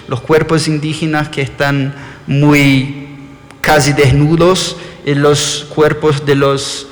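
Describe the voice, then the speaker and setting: A man with a Brazilian background and an Argentine-tinged Spanish accent, giving an architecture lecture and often correcting himself mid-word. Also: on the high side. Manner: thoughtful, with frequent self-corrections. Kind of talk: live lecture presentation. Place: lecture hall. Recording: long reverb in a lecture hall.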